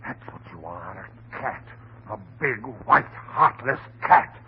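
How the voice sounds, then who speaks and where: An actor playing an anguished, hot-tempered, guilt-wracked husband in melodramatic, stammering old radio horror style. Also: male voice, sits high, very animated, slow pace, gravelly, husky, heavily acted. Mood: bitter, menacing. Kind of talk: old-time radio horror drama acting. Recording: muffled, noisy old radio recording.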